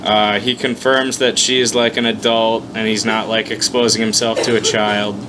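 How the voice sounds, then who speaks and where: A man's voice: on the low side, level tone, normal speed. A man hosting an unscripted reading of his own story, with casual, profane, self-deprecating commentary. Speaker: host reading own fan story aloud. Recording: noticeable background noise, amateur one-take recording.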